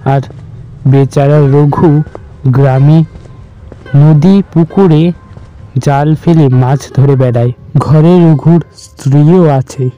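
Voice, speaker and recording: A man's voice: a little high, dark tone, lo-fi sound. A man narrating a scripted horror story in a dramatic storytelling style.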